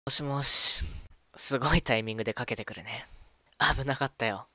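A man acting a mild-mannered, smoothly deceitful young husband in an amateur radio drama, talking in an apologetic, domestic way. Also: mid-range voice, normal speed, sharp tone, no room sound, clean studio sound. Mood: sly. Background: music.

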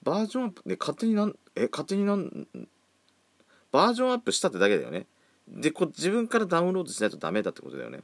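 A man's voice: fairly high pitch, wildly swooping pitch, rapid-fire, creaky, no room sound. A man around forty hosting a casual solo podcast, chatting with campy, feminine phrasing. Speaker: podcast host. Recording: faint background music.

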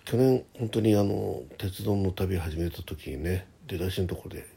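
A man talks alone as the host of a casual radio-style show. His voice is low and very husky, with a gravelly creak running through it. He speaks conversationally and hesitantly, dropping in small asides of agreement with himself. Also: moderate pace, warm tone. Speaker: host of a personal audio talk show. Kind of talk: casual solo radio chat, reading listener messages.

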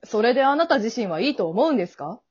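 A woman's voice: a little high, big pitch swings, fast talker, highs cut off. A woman acting in an amateur radio drama as a polite, kindly male guide of the afterlife, speaking in an earnest, businesslike, reassuring way. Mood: earnest, probing.

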